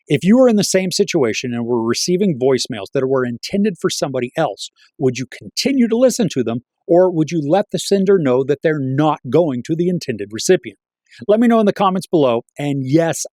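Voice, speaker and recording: A man's voice: fairly high pitch, a little mellow, no echo. A middle-aged man hosting a review show, delivering a scripted, articulate and wryly opinionated critique. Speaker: film reviewer and channel host. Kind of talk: scripted movie review to camera.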